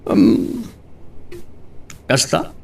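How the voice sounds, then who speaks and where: A man acting in an Ilocano radio drama as a guarded businessman and father, speaking haltingly. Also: far from the mic. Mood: businesslike, hesitant.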